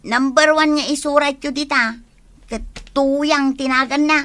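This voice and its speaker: Actress as complaining, scheming Ilocano village woman for comedy drama; high, forceful bright voice, close dry sound. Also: fairly even intonation, unhurried, dry recording.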